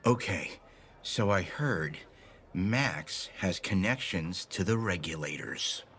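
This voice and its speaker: A man's voice: low-pitched, animated, slow, fairly bright tone, slight background noise, heavily acted. A man playing an earnest, over-familiar gossip who talks confidingly in a video game. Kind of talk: video game dialogue acting.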